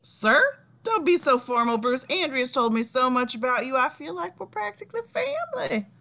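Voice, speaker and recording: A woman acts out a genial businessman father in his fifties for an animated film script. The voice is high, bright and animated, effusively welcoming and over-familiar. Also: normal speed, heavily acted. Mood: mock reproach.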